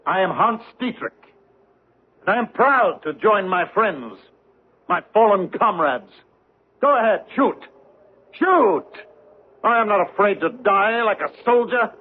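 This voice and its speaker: A proud cold SS captain. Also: male voice, high-pitched, animated, unhurried, pauses often, breathy edge, heavily acted. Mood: defiant. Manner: stiff, defiant, proud. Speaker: actor in radio drama. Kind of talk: 1970s radio drama acting. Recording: narrow tinny 1970s radio broadcast.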